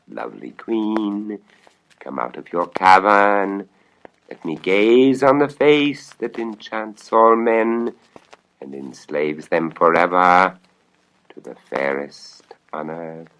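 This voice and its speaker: A greedy old wizard, played by a male storyteller, scheming and gloating in a theatrical, forceful voice. He intones his lines like spells in a horror storybook. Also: deep voice, animated, slow pace, exaggerated voice, poor audio. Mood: coaxing chant. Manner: theatrical, incantatory.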